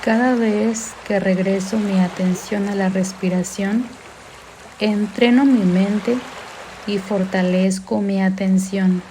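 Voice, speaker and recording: Woman meditation guide, slow delivery, soothing and gentle, relaxed dark tone, water ambience behind. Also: mid-range voice, a little hiss or hum. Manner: calm, gentle, soothing, unhurried instruction. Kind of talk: guided mindfulness meditation narration. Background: sea sounds and background music.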